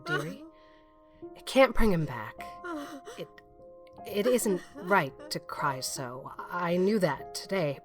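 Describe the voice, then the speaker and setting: A plain-spoken older spinster in a storybook drama. The voice is low, halting and restrained, stern by habit but awkwardly tender, over clear music. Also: female voice. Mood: consoling grief. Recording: music under the voice.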